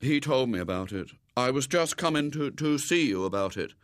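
A man's voice: mid-range voice, expressive, moderate pace, no room sound, studio quality, voice pushed up, natural voice. Man playing a mournfully innocent, cheeky schoolboy. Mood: mournful innocence. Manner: mournful, hesitant mock innocence.

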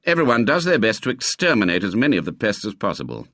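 A man's voice: on the low side, lively intonation, moderate pace, pushed and bright, dry recording, clean studio sound. A man voicing a curt, irritable middle-aged father in a storybook, explaining things with exasperation. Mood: strained patience.

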